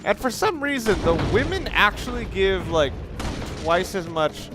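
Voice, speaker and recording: Higher-voiced man doing casual game commentary. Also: very animated, unhurried. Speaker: video game let's player. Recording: game music and gunfire behind. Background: game music, gunfire.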